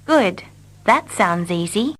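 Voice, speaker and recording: Slow, careful woman repeating lesson lines. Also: medium pitch, lively intonation, a bit bright. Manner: carefully repeats each line. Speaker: English teacher. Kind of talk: slow scripted reading for learners.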